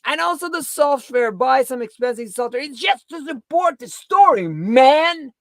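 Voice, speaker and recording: A woman's voice: high-pitched, animated, normal speed, no echo. Blunt woman chatting, dry close sound. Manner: blunt, informal, emphatic repetition. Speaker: livestream co-host. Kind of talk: casual livestream chat, explaining and bantering.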